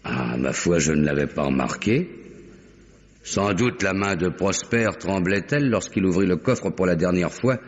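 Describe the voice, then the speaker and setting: An actor playing an aggrieved, self-righteous middle-aged banker, formal and declamatory, in the style of an old French radio drama. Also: male voice, deep voice, lively intonation, moderate pace. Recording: muffled vintage radio recording.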